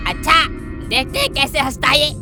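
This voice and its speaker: A woman voicing an excitable, grumpy cartoon father and uncle who exclaims and repeats his words. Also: high-pitched, fairly quick, over loud music. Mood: scolding. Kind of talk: cartoon comedy voice acting.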